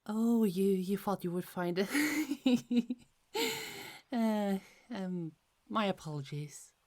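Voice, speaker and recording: A woman playing a soft-spoken young goddess. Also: mid-range voice, takes it slowly, lightly acted. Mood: friendly. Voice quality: soft, half-whispered, giggly. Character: gentle young goddess gardener, chatty. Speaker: ASMR roleplay actor. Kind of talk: ASMR roleplay.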